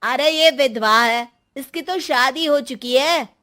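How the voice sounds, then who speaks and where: A woman voicing a catty, taunting young college student in an animated moral story. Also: high-pitched, slow, full character voice. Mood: mocking.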